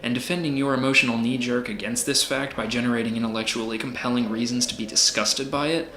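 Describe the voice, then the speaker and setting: A man playing a smug, philosophizing tycoon running for president in an audio drama, measured and condescending. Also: mid-range voice, fairly even intonation, roomy sound, noisy background, lightly acted. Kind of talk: amateur audio drama acting.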